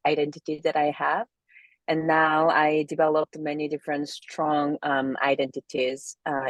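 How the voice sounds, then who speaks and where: A woman's voice: low voice, no echo. A woman from South Korea with a Korean accent, speaking reflectively as an interview guest and often searching for words. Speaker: interview guest, somatic movement therapist.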